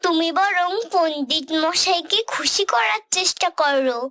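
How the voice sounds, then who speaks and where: A woman's voice: very high-pitched, unhurried, noisy background. A woman voicing a clever young male jackal who gives knowing, instructive advice in a children's cartoon. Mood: instructing. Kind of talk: children's animated story acting.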